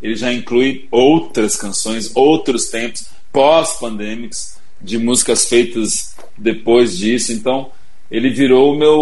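A man's voice: mid-range voice, a little mellow. A middle-aged Brazilian man, a singer-songwriter guest, telling his story reflectively in a casual livestream interview.

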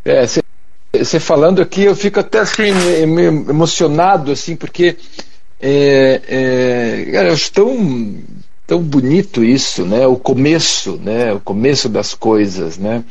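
A man's voice: medium pitch, unhurried, darkish tone, husky. A Brazilian man hosting a casual interview, speaking hesitantly and searching for words, on lo-fi livestream audio.

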